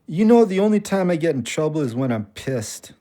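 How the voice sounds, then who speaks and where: A man's voice: on the high side, big pitch swings, fairly quick, mellow, dry recording, studio quality. A man acting in an audio play as a reflective ex-convict trying to stay sober, with a Canadian accent and an earnest, colloquial manner.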